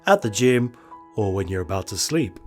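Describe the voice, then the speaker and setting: A man's voice: lowish voice, lively intonation, normal speed, a little mellow, soft background music. A man speaking as the host of a horror storytelling channel, delivering a scripted sign-off to listeners.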